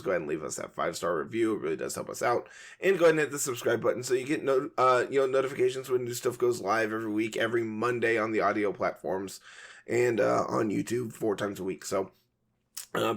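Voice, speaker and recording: Gravelly-voiced man signing off a podcast. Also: medium pitch. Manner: chatty, relaxed, many fillers. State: voice going hoarse. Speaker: podcast co-host. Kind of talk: casual podcast chat and sign-off.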